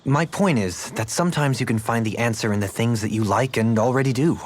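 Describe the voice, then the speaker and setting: A man's voice: medium pitch, a little fast, close to an everyday voice. A man playing a cultured, gracious nobleman who speaks formally and eloquently, in video game dialogue. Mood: encouraging, thoughtful. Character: cultured, courtly nobleman, gracious, reflective, music-loving. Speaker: video game party member. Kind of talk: English-language video game character dialogue.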